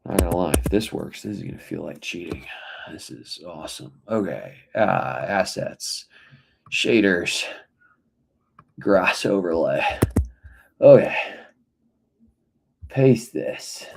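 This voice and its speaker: Hoarse man mumbling through a dev log. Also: sits low, lively intonation, slow pace, pauses often, darkish tone. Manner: rambling, mutters half to himself. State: hoarse, losing his voice. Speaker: indie game developer. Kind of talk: casual dev log, thinking aloud while working.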